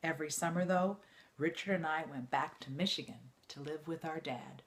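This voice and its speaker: A woman reading an autobiography aloud like a teacher to students, in a measured, expressive storytelling way. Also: low-pitched.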